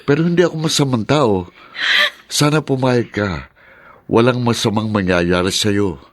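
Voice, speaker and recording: A gentle young stranger who keeps apologizing, in a radio play, voiced by a Filipino actor. His mid-range voice is recorded dry and close. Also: mid-range voice, moderate pace, no echo, natural voice. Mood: apologetic. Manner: apologetic, reassuring. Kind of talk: radio drama acting.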